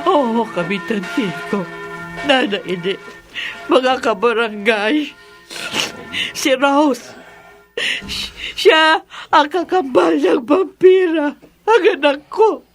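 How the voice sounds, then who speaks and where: A tormented mother in a horror radio play. Her high voice moves slowly, heavy with tearful guilt and melodramatic pleading. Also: faint music behind. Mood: distraught. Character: anguished, guilt-ridden mother confessing a secret.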